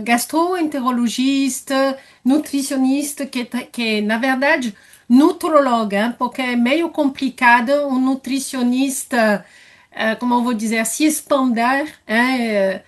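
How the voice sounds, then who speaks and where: A woman's voice: high voice, slow pace. A French woman speaking with a French accent, a nutritionist asking a question in a live Q&A, searching for words as she goes. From France. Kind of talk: live online Q&A.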